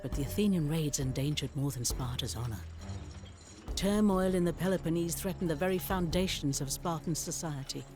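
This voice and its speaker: An actor playing a grave storyteller, narrating ancient history in a measured, literary style for a video game. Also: male voice, a little high, a little mellow, faint music behind, lightly acted. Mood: dramatic gravity. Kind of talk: scripted dramatic narration.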